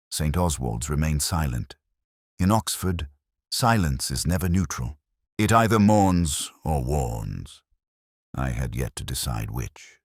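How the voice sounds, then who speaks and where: Man voicing world-weary detective inspector, extremely low pitch, dry close sound, brooding measured mystery narration, audio drama. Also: no room sound, heavily acted. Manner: measured, literary, brooding delivery. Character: melancholy, world-weary older British detective inspector narrating his case.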